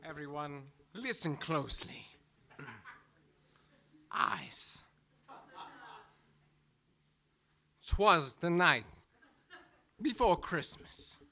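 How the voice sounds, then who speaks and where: Eccentric, halting older-man storyteller, bright-voiced. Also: a little high, animated, creaky, exaggerated voice. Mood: deadpan, dramatic. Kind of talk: comic live stage reading of a poem.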